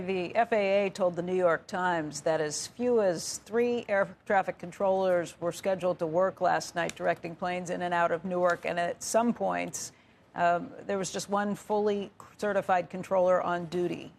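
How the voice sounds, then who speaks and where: A woman's voice: lowish voice, steady tone, normal speed, gravelly, slight background noise. A woman putting pointed questions as an interviewer on a live TV news show.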